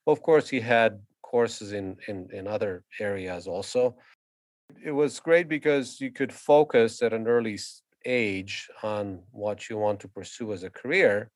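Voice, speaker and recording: A man, answering interview questions haltingly with many filler sounds and restarts. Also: on the low side, no echo. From Romania. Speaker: guest violinist and conductor.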